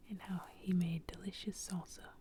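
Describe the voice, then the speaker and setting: A woman telling an improvised bedtime story in a soft ASMR whisper. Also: fairly low pitch, little pitch movement, normal speed, a little hiss or hum.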